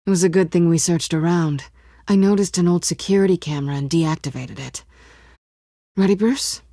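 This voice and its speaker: Flirty guarded thief woman from a video game, american, breathy husky, teasing, super dry close recording. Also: lowish voice, moderate pace, mellow, dry recording. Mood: cool, watchful. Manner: teasing, coolly wry. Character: sly, guarded woman cat-burglar with a flirtatious edge.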